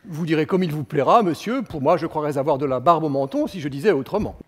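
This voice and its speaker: A man's voice: a little high, animated, quick pace. An actor playing a proud, witty 17th-century noblewoman in a stage performance, delivering an arch, ironic retort.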